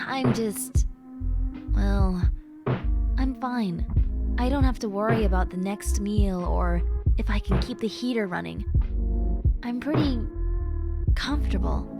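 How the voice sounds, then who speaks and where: A woman playing a stressed, caring young best friend, venting earnestly and intimately to the listener in a conversational audio roleplay. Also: a little high. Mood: upset, earnest. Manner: conversational, sighing, rambling, earnest.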